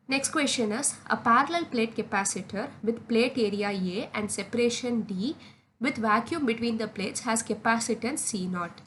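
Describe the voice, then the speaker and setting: A woman's voice: on the high side, a little hiss or hum. A woman tutor working through exam solutions step by step, methodically spelling out formulas in short phrases. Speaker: physics tutor.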